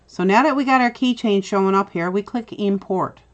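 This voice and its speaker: Newfoundland woman walking through software steps. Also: medium pitch, normal speed, muffled recording. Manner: chatty, step-by-step with filler "uh"s. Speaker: tutorial host. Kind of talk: screen-recorded software tutorial.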